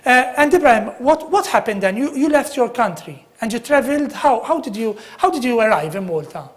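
A man's voice: very high voice, animated, a little fast. A Maltese man in his thirties hosts a current affairs interview in a TV studio. He moves between Maltese and simple, careful English and keeps repeating back what his guest says.

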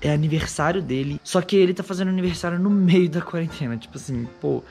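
A hoarse young man talks straight to his viewers, fairly high-pitched and chatty. He holds an action camera at arm's length outdoors on a patio, with faint music under his voice. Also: fast, darkish tone. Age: young adult. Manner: chatty, addresses viewers directly. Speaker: vlogger. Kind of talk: casual vlog chat to camera. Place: outdoor patio, then a house kitchen. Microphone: handheld action camera at arm's length.

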